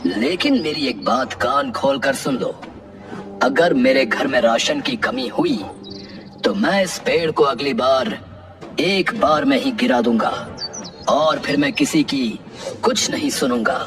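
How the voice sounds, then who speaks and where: A man's voice: mid-range voice, animated, unhurried, music in the background, lightly acted. A man playing a strong, stubborn village wrestler in a Hindi cartoon, speaking in a blunt, domineering way. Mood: stern, relenting.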